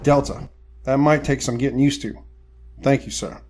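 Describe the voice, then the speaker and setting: An actor playing an earnest, courteous young gentleman recruit of the 1870s in an audio drama. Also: medium pitch, steady tone, moderate pace, slight background noise, close to an everyday voice. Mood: humbled, resolute. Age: 20s.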